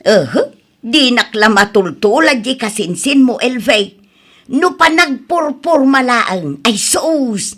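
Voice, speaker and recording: A woman's voice: fairly high pitch, expressive, slow pace, fairly bright tone, full character voice. A woman with an Ilocano accent playing a nagging, dramatic older mother in a comedy drama, exclamatory and teasing.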